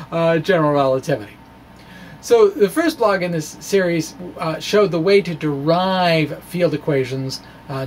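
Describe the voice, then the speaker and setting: A man's voice: fairly high pitch, a little hiss or hum. A middle-aged man hosting a science video blog, reading in a quirky, wry, dramatic way with casual asides. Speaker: host of a physics video blog. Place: small indoor room with bookshelves. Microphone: stand microphone just below the face.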